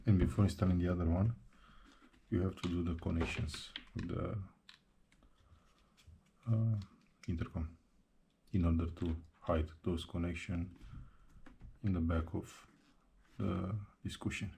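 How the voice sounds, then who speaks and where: A man narrating a hands-on how-to tutorial step by step, speaking haltingly in short broken phrases. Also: sits low, fairly even intonation, gravelly, dark tone, a little hiss or hum. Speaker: presenter of a how-to installation tutorial.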